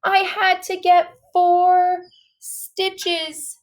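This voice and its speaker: A woman reading a children's story aloud in an expressive, dramatic way, leaning on key words and exclamations. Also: extremely high pitch, drawn-out words. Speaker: storybook reader.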